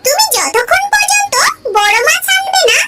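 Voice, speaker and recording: A performer voicing a quarrelsome, sarcastic bird wife in an animated cartoon, scolding and aggrieved. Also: very high-pitched, normal speed, noisy background. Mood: angry, scolding. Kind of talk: Bengali animated cartoon dialogue.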